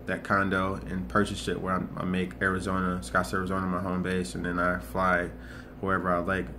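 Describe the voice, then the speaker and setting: Low flat-voiced young man vlogging. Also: brisk, noisy background. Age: 20s. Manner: earnest, rambling, many restarts and repetitions. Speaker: motivational vlogger. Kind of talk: casual motivational monologue.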